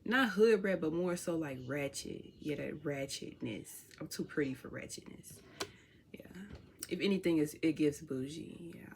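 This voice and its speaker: A woman's voice: low voice, vocal fry, noisy background. A young woman from Chicago with a Chicago accent, chatting casually and laid-back to her viewers at home. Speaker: vlogger. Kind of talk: casual chat to camera.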